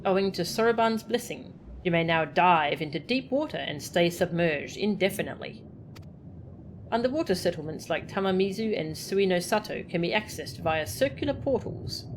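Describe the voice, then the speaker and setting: A woman streaming a game, chatting casually and reading tutorial text aloud, easily delighted and reacting out loud. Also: lowish voice, background noise.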